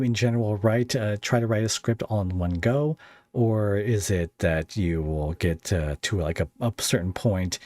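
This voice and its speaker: A man with a low voice and a close, dry sound hosts a casual interview. He is chatty and rambles through his questions, slipping in jokey asides. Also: a little mellow, no echo. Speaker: podcast host and interviewer.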